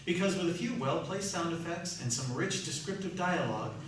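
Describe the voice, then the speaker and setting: A man's voice: fairly high pitch, animated, a little fast. Male theatre host, showmanlike prepared introduction, distant mic, strong room echo and faint noise. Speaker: host of a live radio theatre troupe. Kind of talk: prepared stage introduction to audience. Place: large echoey room, live performance.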